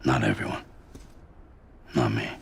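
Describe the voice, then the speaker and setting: An actor playing a weary, withdrawn deliveryman in a video game, speaking tersely in a subdued, gravelly mutter. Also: fairly even intonation, a little slow, slight background noise, full character voice. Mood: bleak, resigned. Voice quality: gravelly, low. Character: weary, withdrawn loner deliveryman, gruff and detached. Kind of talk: cinematic video game acting.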